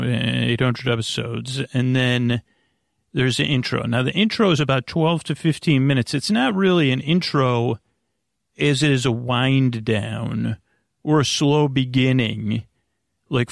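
Man, gravelly creak, dry close sound, rambling bedtime podcast host, halting and tangential. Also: mid-range voice, dry recording. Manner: halting, meandering, repeats and backtracks.

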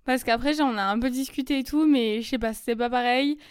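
Young woman podcaster, casual and chatty storyteller, lots of fillers; crisp tone, dry recording. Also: a little high, no echo. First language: French. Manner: chatty, many fillers and quick asides. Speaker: podcast host. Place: lives in Germany.

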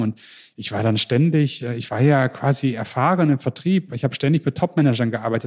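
A man from Germany, a podcast interview guest, telling his story in a lively way with frequent restarts and repeated words. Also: medium pitch.

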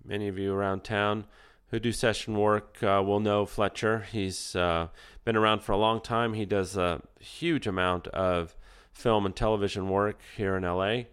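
A man with a lowish, smooth voice hosts a relaxed interview podcast. He sounds a little stuffed up from a summer cold. He keeps things chatty and pokes fun at himself. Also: moderate pace, no echo, clean studio sound. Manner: joking, self-deprecating, chatty. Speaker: podcast host. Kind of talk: casual interview chat. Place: Los Angeles studio.

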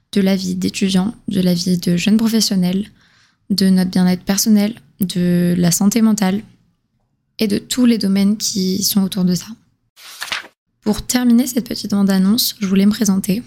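A woman in her twenties, from southern France, talks alone into the mic as host of her own podcast. Her voice sits at a middling pitch and stays fairly flat and level. She speaks in a casual, confiding way with plenty of slang. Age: 20s. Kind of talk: solo podcast monologue.

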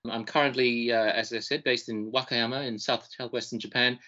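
A man's voice: mid-range voice, fairly even intonation, brisk, pushed and bright, highs cut off. A man co-hosting a podcast, raised in Fiji and Australia, introducing himself in a thoughtful, searching way and often repeating words as he thinks. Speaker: podcast co-host. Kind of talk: casual self-introduction.

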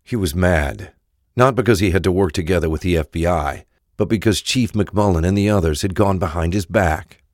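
A man's voice: low voice, normal speed, a little mellow, no echo, clean studio sound. A man narrating a crime thriller audio drama from a script.

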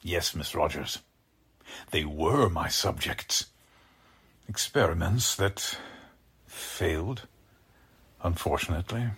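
A megalomaniac mad scientist from an old radio play. His voice is low and very husky, and he draws his words out slowly with cold, formal menace. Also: animated, fairly bright tone, exaggerated voice. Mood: coldly matter-of-fact. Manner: slow, deliberate, menacingly formal. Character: deranged, coldly polite male mad scientist, megalomaniac. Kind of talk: 1940s radio drama acting.

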